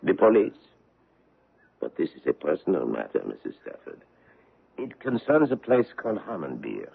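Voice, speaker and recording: An actor playing a menacing foreign investigator with a European accent, speaking formally and deliberately in a radio mystery drama. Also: male voice, sits low, wildly swooping pitch, creaky, breathy edge, exaggerated voice. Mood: polite menace. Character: menacing foreign government investigator hunting a woman from his homeland. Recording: muffled narrow-band old radio broadcast.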